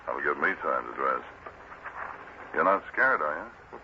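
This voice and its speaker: An actor playing a shady, watchful political crony who applies subtle pressure in an old radio drama. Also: male voice, very deep voice, sing-song, unhurried, vocal fry, full character voice. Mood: conspiratorial. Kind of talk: 1940s radio drama acting. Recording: noisy, muffled old radio recording.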